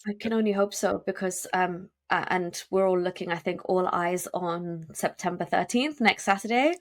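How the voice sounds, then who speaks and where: Emphatic woman, interview guest. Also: lowish voice. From United Kingdom. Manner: emphatic, rapid, many fillers and restarts. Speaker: podcast guest. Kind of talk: conversational interview.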